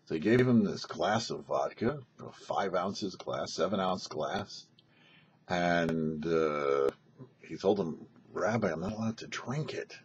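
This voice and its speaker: A man's voice: deep voice, expressive, slow, a little hiss or hum. An American man hosting and narrating a religious story in an anecdotal way, acting out bits of dialogue. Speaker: host and storyteller. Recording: slightly dull lo-fi recording.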